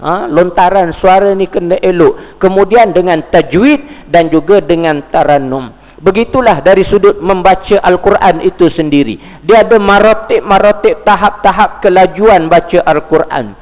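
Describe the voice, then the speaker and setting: High-voiced Malaysian man lecturing in a mosque. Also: normal speed. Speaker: religious teacher. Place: mosque hall. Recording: dull, reverberant mosque recording.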